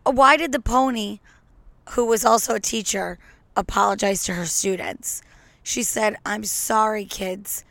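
A slightly hoarse woman hosting a casual solo podcast from home, talking into a microphone with a brash, joking, rambling manner. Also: medium pitch, measured pace, a bit bright, no room sound. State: a little hoarse. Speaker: podcast host. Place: at home on a couch.

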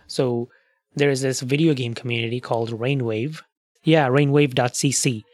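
Man, podcast host, relaxed explainer, medium pitch, dry close mic. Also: moderate pace, no room sound. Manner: relaxed, explains with asides and fillers. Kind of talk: casual podcast chat.

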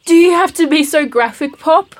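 A woman's voice: sits high, moderate pace, natural voice. An actress playing an exasperated schoolgirl granddaughter in a comic audio drama. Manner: exasperated, sighing.

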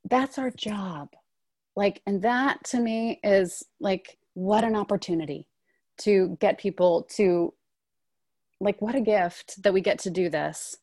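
A woman's voice: medium pitch, slow, mellow, no room sound, studio quality. A woman in her thirties, a stage actor, talking through her career in a casual interview, thoughtful and full of "like" and "um.". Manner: thoughtful, frequent "like" and "um" fillers.

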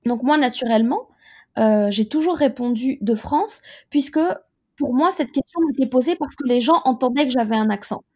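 A woman in her thirties from Côte d'Ivoire, recounting her own experience as an interview guest in a reflective, measured way with frequent hesitations. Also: fairly high pitch. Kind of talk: personal testimony in an interview.

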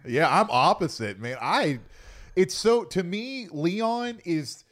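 A man's voice: high-pitched, animated, slow, fairly bright tone. A man co-hosting a podcast and giving his opinions on films in casual conversation. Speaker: podcast co-host. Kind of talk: casual film chat.

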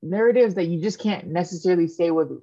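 A woman's voice: fairly low pitch, quick pace, warm tone, lo-fi sound. Candid, jokey woman guest in her thirties. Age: 30s. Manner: candid, self-mocking, jokey. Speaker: podcast guest, artist. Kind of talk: casual interview chat.

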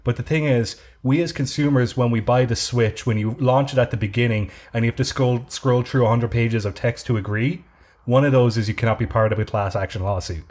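A man chatting casually on a podcast, telling a drawn-out story with many restarts. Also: medium pitch, a little mellow. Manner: rambling storyteller with frequent restarts. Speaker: podcast co-host. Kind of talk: casual chat.

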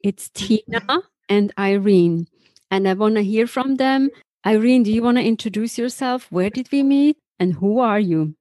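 A woman's voice: medium pitch, moderate pace, darkish tone. A woman hosting a podcast, introducing and interviewing guests. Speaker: podcast host.

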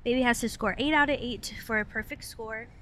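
A woman's voice: on the high side, brisk, fairly bright tone. A young American woman co-hosting a podcast, telling personal stories in an informal, chatty way with playful wording. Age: young adult. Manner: informal, chatty, uses playful made-up words. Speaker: podcast co-host. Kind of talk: casual chat, personal storytelling.

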